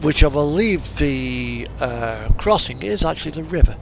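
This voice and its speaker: A man's voice: a little high, expressive, a little slow, gravelly. A man giving casual, rambling commentary over a driving game, musing and thinking aloud as he goes. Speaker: video game streamer narrating a truck simulator drive. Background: music and vehicle engine sound.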